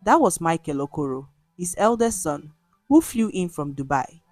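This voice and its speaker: A woman's voice: lowish voice, lively intonation, normal speed, a little mellow, no room sound. A woman storyteller reading a scripted folktale in a dramatic narrative style. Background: music.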